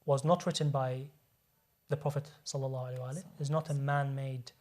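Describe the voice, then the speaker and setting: A man speaks as an invited guest, laying out his points earnestly and at length. His voice sits in an ordinary middle pitch, and he often stumbles and repeats a word before he picks the sentence up again. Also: flat delivery, fast, a little mellow. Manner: earnest and explanatory, often repeats words as he restarts. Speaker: guest speaker, medical doctor. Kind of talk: explaining religion in a studio discussion.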